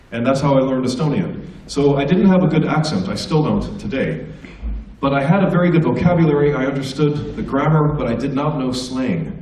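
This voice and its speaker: A man's voice: medium pitch, mellow. A middle-aged American man telling comic stories and teasing students as a guest speaker at a school assembly. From United States. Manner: joking, teasing the audience. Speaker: guest speaker, stand-up comedian. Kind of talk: stand-up storytelling to students. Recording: echoey hall from far off.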